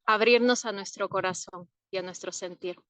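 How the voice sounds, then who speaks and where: A woman from Peru, an herbalist answering interview questions in an unhurried, thoughtful way with soft laughs. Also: mid-range voice, fairly even intonation, dry recording.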